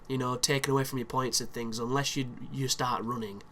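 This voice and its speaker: Male gameplay commentator, mid-pitched, chatty and explanatory, slightly chesty voice. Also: fairly even intonation, brisk, bright, forceful tone. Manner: chatty, explanatory, with frequent restarts and fillers. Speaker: video game walkthrough commentator.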